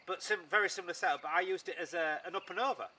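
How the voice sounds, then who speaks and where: A man's voice: sits high, racing. A man in his thirties chatting casually as a fishing presenter, agreeing readily and prompting with short questions. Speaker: presenter interviewing a fellow angler. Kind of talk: casual outdoor fishing chat. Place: outdoors on a pebbly shore. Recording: faintly noisy outdoor recording.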